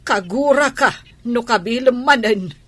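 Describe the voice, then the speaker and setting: A woman's voice: a little high, a little slow, a bit bright, no echo. A woman playing a protective, worried mother in a Filipino radio drama. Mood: protective, firm. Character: protective, worried mother standing up to her husband.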